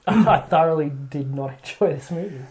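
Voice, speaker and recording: A man's voice: on the high side, fairly quick, a little mellow. A man co-hosting a podcast, chatting casually with a blunt, dry manner. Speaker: podcast co-host. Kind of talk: casual chat. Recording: echoey room.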